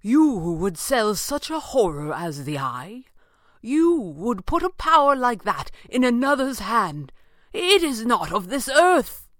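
A man's voice: a little high, sing-song, takes it slowly, sharp tone, no room sound, clean studio sound, pitched above the performer's own voice, full character voice. Man acting an impassioned, declamatory master magician. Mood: outraged. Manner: declamatory, ringing. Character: indignant, impassioned male master magician, grand and defiant. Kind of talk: dramatised pulp adventure audiobook.

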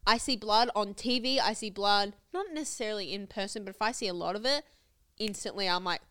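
Female podcast guest, congested, candid and rambling, smooth voice. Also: medium pitch, fairly quick, bright, forceful tone. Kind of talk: casual chat.

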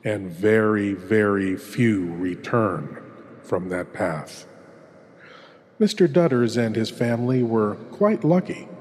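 A man hosting a horror show in a theatrical scripted style, ominous and full of dramatic pauses. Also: lowish voice, lively intonation, darkish tone, long reverb tail.

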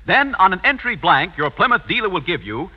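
A male announcer reads an old radio ad excitedly. His voice is high and lively, ringing with long reverb on a dull recording. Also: a little fast. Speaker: commercial announcer. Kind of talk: excited 1950s radio ad read.

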